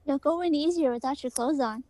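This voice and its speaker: A woman's voice: high voice, a little fast, dark tone, dry recording, natural voice. A woman playing an insistent, pleading girlfriend in a story read aloud, urgent and coaxing.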